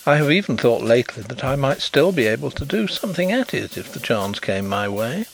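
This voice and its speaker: A suave, genial blind gentleman from a storybook, acted by a man. He sounds quietly thoughtful and self-assured. His pitch moves freely, with some creak in the voice, over faint music. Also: very animated, a little fast, low-quality recording. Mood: wistful.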